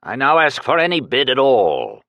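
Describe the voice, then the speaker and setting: A man voicing a nervous, fawning auctioneer who pleads and chants bids in a higher voice. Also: huge pitch swings, moderate pace, fairly bright tone, dry recording. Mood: pleading. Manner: pleading, wheedling, sing-song chanting.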